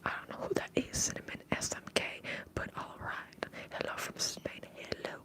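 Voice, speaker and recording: A woman from the upper Midwest putting on a Southern American accent, talking softly and haltingly as she hosts an ASMR bartender roleplay livestream. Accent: American, affected Southern. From upper Midwest, United States.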